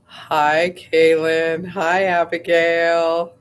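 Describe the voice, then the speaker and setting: A woman hosting a casual livestream, her voice going hoarse, rambling chattily with lots of fillers and frequent throat clearing. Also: fairly low pitch, level tone, drawn-out words. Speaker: host.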